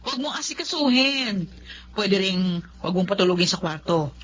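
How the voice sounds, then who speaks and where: A woman's voice: mid-range voice, lively intonation, normal speed, soft background music, natural voice. A woman playing a meddling, scheming, bossy sister-in-law in a Filipino radio drama. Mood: bossy, urging. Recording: muffled, faintly noisy radio drama audio.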